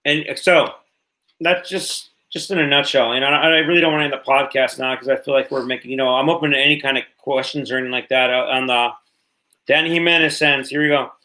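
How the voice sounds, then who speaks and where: A man's voice: mid-range voice, steady tone, quick pace, pushed and bright, rough recording. A man in his late thirties hosting a casual livestream podcast, rambling and cursing freely as he chats. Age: 30s. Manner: rambling, swears freely, repeats himself. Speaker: podcast host.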